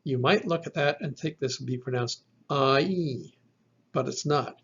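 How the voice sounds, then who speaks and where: A man lectures at an unhurried, deliberate pace, his voice gravelly and a little husky. He spells out letters and repeats each sound twice so students can follow. The recording is dull and lo-fi. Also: medium pitch, a bit bright. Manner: slow, methodical, repeats each sound for the listener. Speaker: Greek language teacher.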